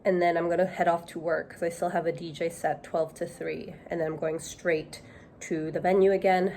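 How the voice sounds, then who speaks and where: A young woman chatting casually to camera in a vlog, nasal and hoarse from a cold, thinking aloud with fillers. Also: fairly low pitch, level tone, moderate pace, mellow, a little hiss or hum. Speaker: knitting vlogger.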